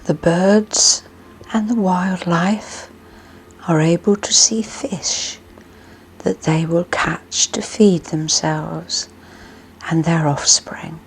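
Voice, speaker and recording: Woman, slightly low pitch, extremely slow pace, hushed soothing delivery, long pauses, guided meditation narration. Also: steady tone, slight background noise, low-quality recording. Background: music.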